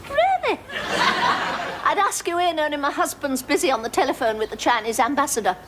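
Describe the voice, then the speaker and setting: An actress playing a pretentious, domineering middle-aged English housewife in a sitcom, with an affected, plummy voice. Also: female voice, high voice, big pitch swings, fairly quick, over a noisy crowd, heavy background noise. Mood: bossy. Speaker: sitcom character.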